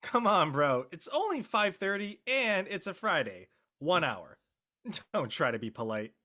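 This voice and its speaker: A man plays a loyal, excitable high school jock in a naturalistic radio play. His voice is bright and forceful and swings widely in pitch. He talks in slangy exclamations. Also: a little slow, exaggerated voice. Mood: playful. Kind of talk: naturalistic dialogue in a live-streamed audio play.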